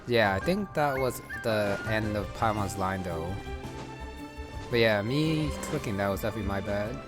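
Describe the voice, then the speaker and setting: A man's voice: animated, moderate pace, over music. A man commenting casually and offhandedly over his gameplay footage. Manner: offhand, low-key. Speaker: gameplay video host.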